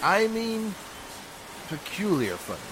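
A man's voice: high voice, wildly swooping pitch, slow, heavily acted. A man acting a suspicious, insinuating factory worker in a 1940s-style radio drama. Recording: clear background noise.

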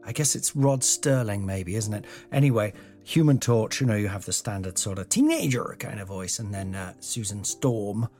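Croaky man rambling through a solo podcast. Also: fairly low pitch, expressive, moderate pace, hardly any pauses, darkish tone, soft background music. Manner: meandering, self-deprecating, sweary and chatty. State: slightly croaky. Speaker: podcast host. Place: room in an old house. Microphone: home podcast mic without a pop shield.

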